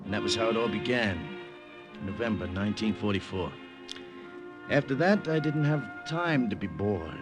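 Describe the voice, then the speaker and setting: An actor playing a brash, restless American lieutenant and secret agent in a 1950s radio drama, slangy and wisecracking. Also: male voice, on the low side, lively intonation, moderate pace, over loud music, natural voice. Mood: wry, bored. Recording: old 1950s radio broadcast.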